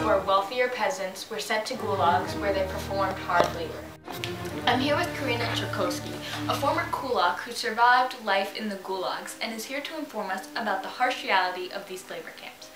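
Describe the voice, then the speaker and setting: A woman's voice: mid-range voice, close to an everyday voice. A woman playing an earnest, theatrical TV news magazine anchor, reading scripted narration and questions in a formal announcer manner. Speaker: mock news host and interviewer. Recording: echoey room, distant mic, loud music.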